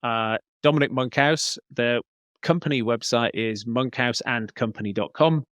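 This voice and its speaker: A man's voice: mid-range voice, normal speed, a bit bright, no echo. A man giving conversational interview answers as a podcast guest, explaining things as he thinks aloud. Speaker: podcast guest, business coach.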